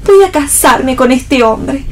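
Woman acting a high-voiced defiant young heiress. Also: expressive, takes it slowly. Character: defiant, idealistic rich young woman, yearning for happiness. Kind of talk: staged play reading for radio.

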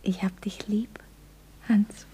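A woman's voice: very high-pitched, big pitch swings, moderate pace, heavy background noise. An actress playing an adoring, girlish young sweetheart in a 1960s German radio comedy, breathless and theatrically emotional. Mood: overwhelmed, adoring.

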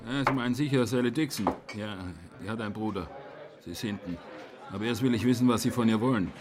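An actor playing a gruff, suspicious pub keeper in a radio play. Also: male voice, on the low side, fast, close to an everyday voice. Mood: wary. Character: gruff, wary male pub keeper, protective of staff. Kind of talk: German radio play acting. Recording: busy crowd noise around the voice.

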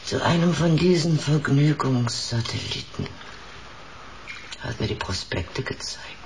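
An actor playing a nosy, knowing neighbour who gossips drily in short folksy sentences, in a German radio drama. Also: male voice, fairly high pitch, expressive, unhurried, noisy background. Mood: wry gossiping. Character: nosy, talkative neighbour who sees everything, drily amused.

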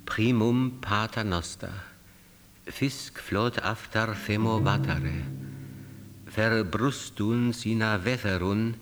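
A man playing a solemn figure who intones an ancient charm in a radio play, with long reverb. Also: on the low side. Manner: solemn, measured. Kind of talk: solemn incantation in radio drama.

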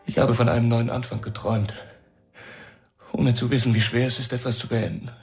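A man's voice: medium pitch, steady tone, a little fast, creaky, a little mellow, soft background music, lightly acted. A man acting in a German radio crime drama, playing a tormented, weary young musician and addict. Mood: anguished, weary.

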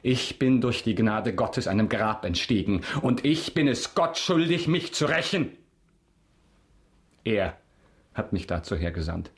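Avenging nobleman, low voice, stern, bitter, formal delivery, radio play. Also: animated. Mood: bitter, vengeful. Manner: formal, grave, measured. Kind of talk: German radio drama acting.

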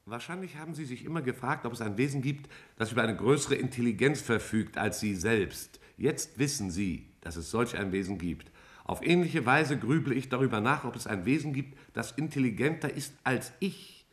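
A man playing a vast alien cloud intelligence in a 1950s German radio play, speaking in a formal, measured, didactic way. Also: medium pitch, lively intonation, lightly acted. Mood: solemn, pondering.

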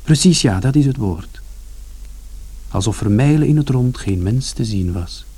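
A man's voice: medium pitch, lively intonation, unhurried, warm tone, husky. An actor playing a reflective young man recounting events conversationally in a radio play.

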